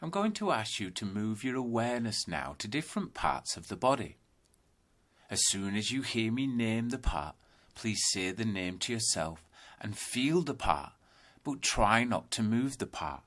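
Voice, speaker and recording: A man guiding a yoga nidra meditation in calm, deliberate, instructional phrases with space between them. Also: on the low side. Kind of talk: guided meditation narration.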